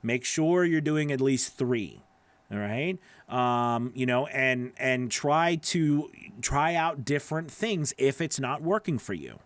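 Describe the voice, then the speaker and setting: A man in his forties talks casually as a livestream host and coach. His voice sits at a medium pitch, recorded dry and close on a studio mic in a small home studio. He is encouraging and emphatic, often repeating a phrase to drive it home. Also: lively intonation, takes it slowly, no room sound. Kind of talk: casual livestream advice, answering viewer questions. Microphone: close studio microphone on boom arm.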